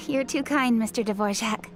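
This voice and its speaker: A woman playing a brisk, efficient young female official in a video game, formal and courteous. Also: on the high side. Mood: modest, pleased.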